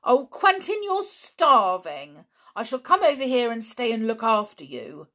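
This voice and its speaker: A woman's voice: a little high, sing-song, a little slow, voice pushed up, exaggerated voice. A woman voicing a caring wife who fusses reproachfully over her neglectful husband. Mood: fussing. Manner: reproachful and worried.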